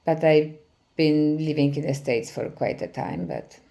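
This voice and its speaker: A middle-aged woman from Poland with a Polish accent, giving a conference presentation as a physical therapy professor, often pausing with "uh" fillers. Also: deep voice, vocal fry, dark tone. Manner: frequent hesitations with many "uh" fillers.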